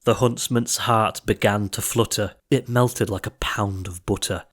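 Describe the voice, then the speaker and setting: A man's voice: fairly low pitch, fairly even intonation, a little slow, a bit bright. A man reading comic verse as an audiobook narrator, with a theatrical, rhythmic delivery.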